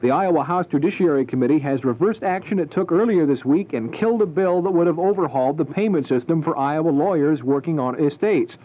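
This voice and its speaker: A man's voice: a little high, animated, a little fast, hardly any pauses, phone-quality sound. A man reading scripted news and weather as a radio anchor on a band-limited 1970s AM broadcast recording. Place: radio studio.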